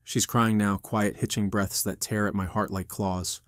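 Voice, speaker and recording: A man's voice: fairly low pitch, level tone, moderate pace, a bit bright, no room sound, clean studio sound, close to an everyday voice. A man voicing an anguished army veteran who runs a restaurant, narrating his own story in an intense, earnest audio drama style. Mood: stricken, tense. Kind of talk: dramatic first-person scripted narration.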